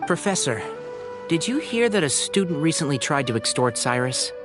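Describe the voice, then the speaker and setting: A man's voice: fairly high pitch, big pitch swings, moderate pace, music in the background. A man playing an earnest, capable young scholar in a video game, polite, articulate and purposeful. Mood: polite, urgent.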